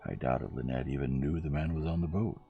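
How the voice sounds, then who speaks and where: Man reading brusque, blunt young gentleman. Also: rumbling low, fast, vocal fry, phone-quality sound, soft background music. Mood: surprised, sincere. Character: brusque, harsh, impatient young gentleman, protective. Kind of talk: audiobook character voice.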